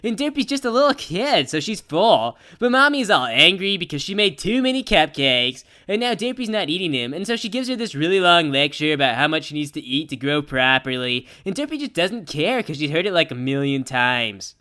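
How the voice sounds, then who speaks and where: A woman plays a brash young girl pony reading out a cartoon storybook. The voice is bright and forceful, swinging widely in pitch. The telling is childishly simple, with irreverent, slangy asides. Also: very animated, brisk, few pauses, exaggerated voice. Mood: animated, irreverent. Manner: childishly simple phrasing mixed with casual, sardonic slang.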